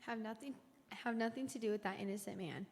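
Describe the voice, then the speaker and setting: A woman reading as governor's troubled wife, creaky. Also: mid-range voice, a little fast, slight background noise, lightly acted. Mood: troubled warning. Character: Pilate's troubled wife, pleading by message. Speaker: reader in a church passion drama. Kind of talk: dramatic scripture reading. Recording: echoey hall, long reverb.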